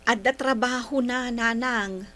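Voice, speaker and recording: A woman's voice: fairly high pitch, steady tone, unhurried, lightly acted. An actress playing an eager, dutiful grown daughter and mother with an Ilocano accent in a radio drama. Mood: cheerful. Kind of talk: Philippine radio drama acting.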